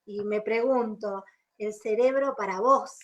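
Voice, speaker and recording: A woman's voice: medium pitch, a little slow, dull audio. A woman from Argentina with an Argentine accent co-hosting a radio magazine, speaking in an enthusiastic, flowing way. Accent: Argentine Spanish. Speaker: radio co-host.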